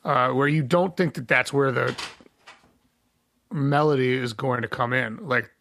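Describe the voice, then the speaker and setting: A man's voice: mid-range voice, fairly even intonation, measured pace, gravelly, fairly bright tone, a little hiss or hum. A man guesting on a podcast, chatting casually about his songwriting in a rambling way with lots of fillers.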